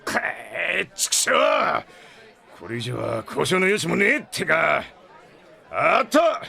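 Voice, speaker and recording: A male actor playing a gruff, blustery middle-aged starship captain in a Japanese audio drama, with rough, brusque, slangy speech. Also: on the high side, sing-song, takes it slowly, sharp tone, a faint crowd, exaggerated voice. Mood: grudging, urgent. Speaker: ship captain.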